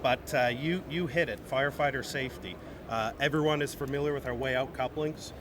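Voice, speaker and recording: Man giving interview over clear background noise. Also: medium pitch, a little fast. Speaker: company representative, interview guest. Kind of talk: informal trade show interview. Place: trade show event. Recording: noisy busy venue background.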